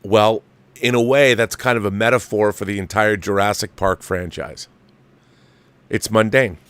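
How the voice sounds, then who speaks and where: A man's voice: on the low side, steady tone, moderate pace, a bit bright, smooth, dry recording. Man hosting a dry-sounding film commentary stream. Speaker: host of a film commentary livestream. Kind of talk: casual opinionated film review monologue.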